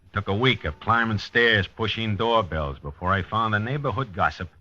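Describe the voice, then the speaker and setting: Dogged noir detective, American, low-pitched and terse, very punchy delivery, vintage radio drama. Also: male voice, normal speed, sharp tone, dry recording. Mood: grim, methodical. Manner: terse, wry, hard-boiled. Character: dogged, hard-boiled male police detective narrating his case. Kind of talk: 1950s radio drama acting, noir narration. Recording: dull vintage 1950s radio recording.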